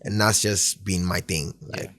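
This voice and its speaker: Man from Ghana, very low voice, dry close sound, relaxed rambling interview talk. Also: measured pace, no echo. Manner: laid-back, rambling, frequent "do you know what I mean". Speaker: guest musician. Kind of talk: casual interview chat.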